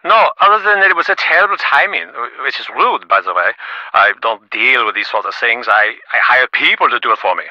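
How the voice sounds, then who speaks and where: Brash, bright-voiced rich businessman on the phone. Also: mid-range voice, animated, no room sound. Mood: glib, dismissive. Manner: boastful, glib, fast-talking salesman patter. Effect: phone filter. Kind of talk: scripted video game phone dialogue. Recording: muffled, band-limited phone line.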